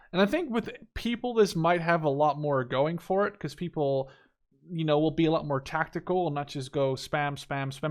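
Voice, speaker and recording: A man in his twenties giving casual gameplay commentary, thinking aloud informally as he plays. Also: a little high. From Netherlands. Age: 20s. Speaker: gaming video host.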